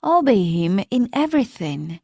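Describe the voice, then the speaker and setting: A woman's voice: mid-range voice, very animated, normal speed, warm tone, no echo, clean studio sound. A woman reading the lines of a pushy man making promises in a story. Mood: insistent.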